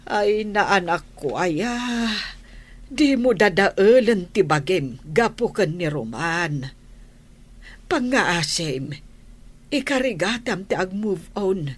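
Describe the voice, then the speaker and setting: A concerned mother in middle age. Her voice is husky and her pace slow as she pleads and coaxes. The delivery is radio drama. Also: medium pitch, expressive. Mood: urging, consoling. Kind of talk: Ilocano radio drama acting.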